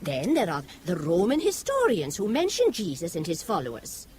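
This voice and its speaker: An actress playing a devout, sharp-witted woman in an audio drama, debating firmly and wryly. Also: fairly low pitch, wildly swooping pitch, slight background noise. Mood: earnest, combative. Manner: quick-witted, firm, wry debater.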